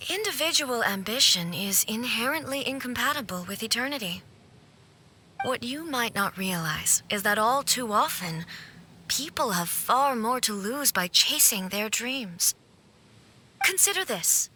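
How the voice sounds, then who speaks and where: A woman playing an aloof immortal ruler in a video game, cold, stately and formal, with haughty deliberate delivery. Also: a little high, animated, pushed and bright, husky, exaggerated voice. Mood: calm, resolute.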